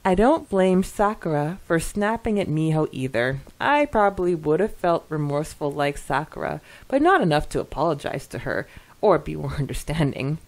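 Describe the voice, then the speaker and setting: A woman co-hosting a podcast in casual, chatty style, reading comments with hesitant pauses and giggles. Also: low-pitched, animated. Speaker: podcast co-host.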